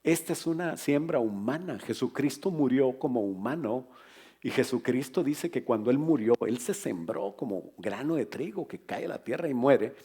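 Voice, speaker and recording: A middle-aged man, a pastor, preaching a lively sermon to his congregation in a church, with humor and asides to the listeners. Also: medium pitch, slightly rough.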